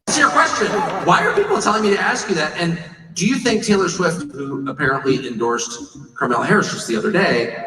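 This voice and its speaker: An animated man asking questions in a jokey way. His voice is high and swoops up and down. He sounds distant and echoey, as if in a large room. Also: brisk. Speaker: interviewer. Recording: echoey clip played from far off.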